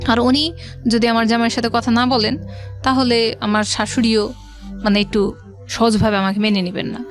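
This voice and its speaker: A woman's voice: fairly high pitch, flat delivery, moderate pace. A middle-aged woman with a Bangladeshi accent, a guest telling her life story conversationally, with some English words mixed in. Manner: conversational, quotes past dialogue, mixes in English words. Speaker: interview guest. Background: background music.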